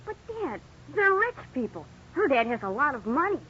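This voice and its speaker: Young son in trouble: high, swooping, earnest, hesitant, vintage radio drama. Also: female voice, big pitch swings, moderate pace. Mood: worried, dismayed. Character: Vicky, anxious young son in trouble after a crash. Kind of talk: 1950s radio drama acting. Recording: dull, noisy old radio recording.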